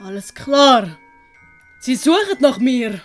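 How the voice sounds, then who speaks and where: A woman voicing an excitable, naive, chatty young servant in an audiobook. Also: sits high, takes it slowly, faint music behind, exaggerated voice. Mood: surprised, chatty. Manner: exclaiming, naive. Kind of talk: audiobook character acting.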